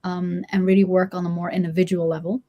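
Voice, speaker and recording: A woman's voice: on the low side, little pitch movement, fast talker, highs cut off. Dutch woman with a mixed accent. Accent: mixed Dutch-international. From Netherlands. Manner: many fillers and restarts, cheerful. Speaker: agency CEO guest. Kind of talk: casual interview chat.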